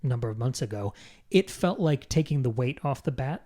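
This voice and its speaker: A man's voice: medium pitch, lively intonation, moderate pace, warm tone. Candid man chatting in a casual interview. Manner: candid, self-deprecating, sweary. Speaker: podcaster and audio drama creator being interviewed.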